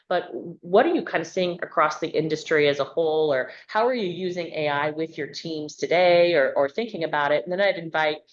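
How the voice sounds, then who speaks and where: A woman's voice: low voice, muffled recording. A woman moderating a webinar panel over a video call, asking questions in a loose, conversational way with fillers.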